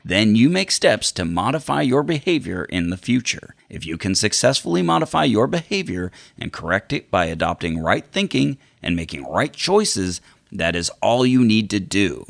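A man's voice: lowish voice, big pitch swings, normal speed, gravelly, heavily acted. A man performing a dramatic reading as a deliverance preacher, solemnly instructing and warning against the devil with theatrical emphasis. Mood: earnest warning.

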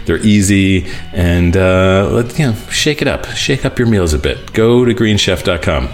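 A man hosting a podcast, chatting casually with many fillers and reading an ad in a relaxed way. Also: low voice, moderate pace, faint music behind. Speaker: podcast host. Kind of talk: casual podcast chat and host-read ad.

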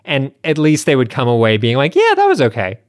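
A man's voice: mid-range voice, wildly swooping pitch, a little fast, no room sound, studio quality. A man co-hosting a podcast, speaking in casual, conversational chat.

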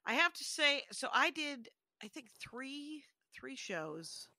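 A woman's voice: high-pitched, very animated, takes it slowly, pushed and bright, no room sound, clean studio sound. A woman co-hosting a podcast, telling stories in a rambling, self-interrupting way with frequent laughs. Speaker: podcast co-host. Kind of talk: casual chat.

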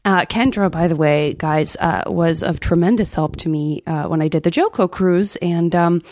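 A woman's voice: fairly low pitch, moderate pace, darkish tone, no room sound. An American woman hosting a podcast, chatting casually and reading out messages in a rambling, effusive way with self-interruptions. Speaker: podcast host. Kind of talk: casual chat, reading out listener emails.

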